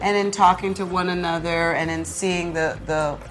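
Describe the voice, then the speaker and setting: A woman's voice: on the low side, fairly even intonation, normal speed, a bit bright. A middle-aged woman, a teacher, explaining things conversationally as a guest in an interview. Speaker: high school drama teacher, interview guest.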